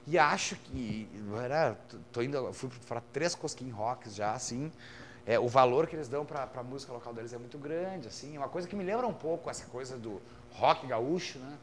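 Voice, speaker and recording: A man in his thirties speaks with a southern Brazilian gaúcho accent at a middle pitch. He talks casually and loosely as a podcast guest, wandering through his memories and dropping easygoing fillers. A faint hum sits under his voice. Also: moderate pace. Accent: gaúcho Brazilian Portuguese. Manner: relaxed, rambling, with frequent fillers like "cara" and "saca". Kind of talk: casual conversational storytelling.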